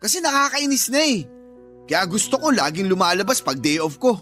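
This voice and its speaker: An actor playing a proud, insecure working-class young husband who argues defensively in a radio drama. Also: male voice, high-pitched, very animated, fairly quick, pushed and bright, full character voice. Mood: irritated. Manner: dismissive, defensive, argumentative. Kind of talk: Tagalog radio drama acting. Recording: music bed behind the voice.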